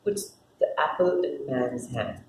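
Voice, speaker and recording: A woman gives scripted stage directions from a play. Her reading is unhurried, in short phrases. The recording is roomy and echoing, with noise behind it. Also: very deep voice, darkish tone, recorded from a distance. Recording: roomy echo with clear background noise.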